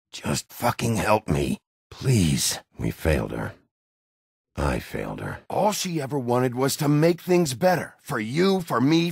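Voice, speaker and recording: An actor playing a gruff, hot-tempered werewolf detective in a video game, a middle-aged man with a gravelly, husky, blunt, intimidating delivery. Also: fairly low pitch, no echo, studio quality, heavily acted. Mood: pleading, grieving. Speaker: interrogating lawman.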